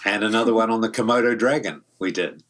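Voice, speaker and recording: A man's voice: lowish voice, fast talker, a bit bright. A man in his seventies with a New Zealand accent, talking casually as an interview guest, rambling and thoughtful with many restarts. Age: 70s. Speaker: guest voice actor. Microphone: home studio mic.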